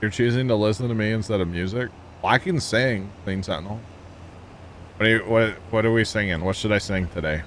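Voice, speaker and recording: A man's voice: lowish voice, a little fast, fairly bright tone, slight background noise. A man chatting casually with his stream viewers while he plays, telling rambling jokey stories and chuckling often. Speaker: livestream gamer. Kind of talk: casual livestream chat with viewers.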